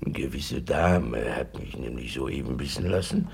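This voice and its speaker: A man's voice: rumbling low, animated, a little slow, creaky, a little mellow, a little hiss or hum, exaggerated voice. An actor playing an obsequious, scheming male landlord in a 1950s radio play, gushing and conspiratorial.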